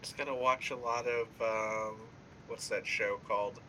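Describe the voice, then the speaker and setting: A man chatting casually over voice chat, animated and explaining things in detail. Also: fairly low pitch, unhurried, slight background noise, low-quality recording.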